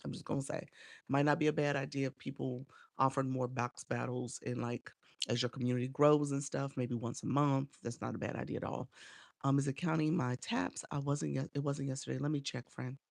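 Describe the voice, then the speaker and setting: A woman's voice: a bass voice, fairly even intonation, a little fast, creaky, a little mellow, no room sound, clean studio sound. A woman coaching on a casual livestream, chatty and encouraging as she greets viewers by name, sounding a bit congested and nasal from a cold. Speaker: live streaming coach.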